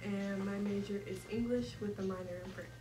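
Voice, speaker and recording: A woman's voice: medium pitch, steady tone, fairly quick, background noise. A young woman from Tennessee chatting casually with a bit of slang. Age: young adult. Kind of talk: casual chat. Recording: roomy sound from a distance.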